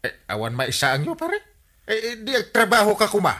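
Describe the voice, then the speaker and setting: A man's voice: high voice, wildly swooping pitch, a little fast, bright, forceful tone, heavily acted. A man with an Ilocano accent playing an exasperated village captain who nags idle neighbors, in radio comedy drama style. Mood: bossy, scolding.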